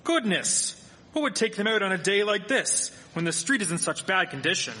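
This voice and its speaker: A skeptical, blunt townsman of the 1870s, acted by a man in a live radio play. His voice is forceful and fairly high, ringing in an echoey hall. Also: lively intonation, normal speed. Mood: exclaiming. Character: grumbling, skeptical townsman in 1873, fond of parties. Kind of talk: live radio drama acting.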